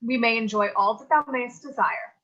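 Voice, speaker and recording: A sensible, dutiful teenage girl played by a woman speaks theatrical Shakespearean English in a stage reading. The voice is bright and forceful, a little high, heard through slightly muffled lo-fi audio. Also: normal speed. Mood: patient, teasing.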